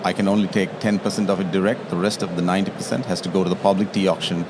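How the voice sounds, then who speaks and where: A man's voice: deep voice, level tone, rapid-fire. A man from Sri Lanka, a tea taster explaining his trade in an interview, often checking in with "yeah?". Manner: explanatory, tags statements with "yeah?". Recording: noisy trade show floor.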